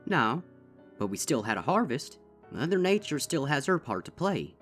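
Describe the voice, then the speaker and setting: A man's voice: a little high, big pitch swings, normal speed, a bit bright, soft background music, voice pushed up. A man voicing a stern, protective older-sister farm pony with a drawl. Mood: solemn. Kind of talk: fanfic audiobook reading.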